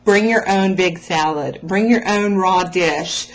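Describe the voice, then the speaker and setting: A woman with a slightly low voice speaks at a very unhurried pace. She hosts a casual motivational vlog, talking straight to her listeners in an encouraging, emphatic way. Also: dull audio. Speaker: host of a raw food vlog. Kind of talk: casual motivational talk to followers.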